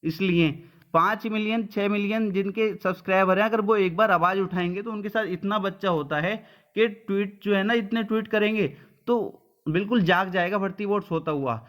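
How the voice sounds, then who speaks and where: A man's voice: high voice, moderate pace, fairly bright tone. A man giving an informal update to students as a coaching teacher, blunt and colloquial, often checking they follow with short tags.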